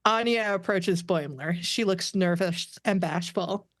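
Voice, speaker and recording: A woman's voice: lowish voice, a little slow, dry recording. Woman narrator with dry, close sound. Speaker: stage directions narrator. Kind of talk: scripted narration for a table read.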